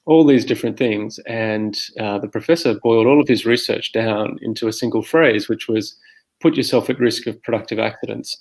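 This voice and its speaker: Middle aged aussie guy hosting a podcast, low flat voice, bit gravelly, chatty and rambling. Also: rough recording. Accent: Australian. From Armidale, New South Wales, Australia. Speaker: podcast host. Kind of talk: unscripted conversational introduction.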